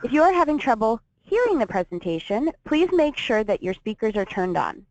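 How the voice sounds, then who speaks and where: A woman hosting a webinar, reading a clear, orderly introduction with housekeeping notes. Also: fairly high pitch, big pitch swings, brisk, a little mellow. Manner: clear, orderly announcer delivery. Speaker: webinar organizer and host. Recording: dull, dry lo-fi sound.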